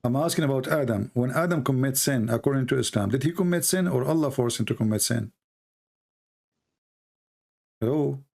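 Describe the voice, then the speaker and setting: A man's voice: mid-range voice, moderate pace, gravelly, pauses often, a little mellow. A man hosting a live call-in debate, firing repeated pointed questions at a caller. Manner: pressing, repetitive questioning. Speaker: debate show host.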